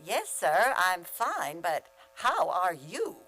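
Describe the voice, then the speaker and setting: A woman playing a lonely, quick-witted schoolteacher with dry, wisecracking delivery in an old-time radio comedy. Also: fairly low pitch, huge pitch swings, sharp tone, faint music behind, full character voice, rough recording. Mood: polite, sardonic. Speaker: actor in a community radio play.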